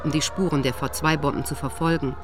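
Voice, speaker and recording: A man's voice: on the high side, steady tone, quick pace, lightly acted. A man reading a translated voice-over of witness testimony for a radio documentary. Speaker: German voice-over translator of interviewees.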